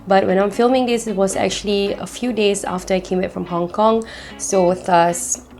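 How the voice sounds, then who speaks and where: Husky-voiced young woman chatting casually. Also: moderate pace, faint music behind. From Singapore. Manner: chatty, excitable, rises in pitch when gushing. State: husky, nasal voice while recovering from illness. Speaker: vlogger. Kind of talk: casual haul vlog.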